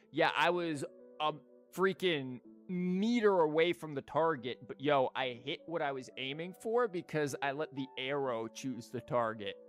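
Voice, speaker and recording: A man's voice: fairly high pitch, a bit bright. Slangy, sweary male game streamer. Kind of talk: casual live gameplay commentary.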